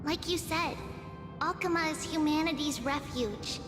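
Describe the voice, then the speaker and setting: A woman's voice: sits high, measured pace, echoey room, long reverb tail, over music. A woman playing a small, determined girl in a video game, earnest and firm as she explains. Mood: earnest, reassuring. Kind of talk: scripted video game acting.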